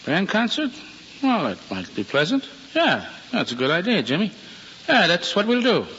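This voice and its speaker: A man playing a gruff but genial middle-aged father with a folksy, blustery American manner in an old-time radio drama. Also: sits high, huge pitch swings, normal speed, a bit bright, heavily acted. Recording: muffled, noisy old radio recording.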